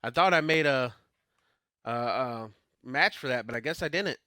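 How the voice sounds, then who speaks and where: A man's voice: medium pitch, animated, moderate pace, sharp tone, dry recording, studio quality. A man doing informal live play-by-play of a wrestling game, talking through his slips, his voice starting to give out. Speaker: e-fed wrestling streamer and commentator. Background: wrestling game crowd.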